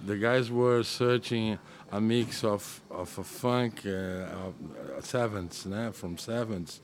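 A Brazilian man talks slowly about his music in careful, broken English. His voice sits low, and his phrases stall and restart as he hunts for words. Faint background ambience runs under the talk. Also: steady tone, slight background noise. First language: Portuguese. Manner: halting, many restarts, searching for English words. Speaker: musician being interviewed. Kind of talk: casual interview.

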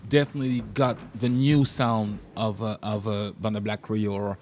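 A man's voice: on the low side, flat delivery, moderate pace, darkish tone, a little hiss or hum. A man interviewing a musician, stammering and repeating words as he builds long questions. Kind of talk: casual interview.

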